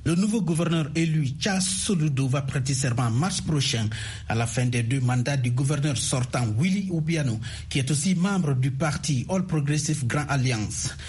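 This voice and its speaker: A man's voice: on the high side, expressive, moderate pace, a little mellow, breathy edge. A man delivering a formal radio news report from the field. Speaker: radio correspondent.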